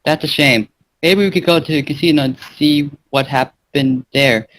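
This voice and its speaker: A man's voice: a little high, normal speed, fairly bright tone, no room sound, lightly acted. Man playing polite, devoted young servant. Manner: courteous, deferential. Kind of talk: fan fiction character reading.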